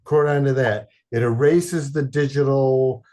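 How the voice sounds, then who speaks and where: A man from Detroit, a podcast guest, chatting casually in a halting, rambling way with many fillers and deadpan jokes. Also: medium pitch. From Detroit, Michigan. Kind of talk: casual chat.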